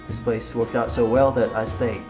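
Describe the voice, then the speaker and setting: A man in his forties from Malaysia, an architect talking casually in an interview, relaxed and hesitant with many fillers, recorded in a bright office hallway. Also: medium pitch, normal speed. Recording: music playing underneath.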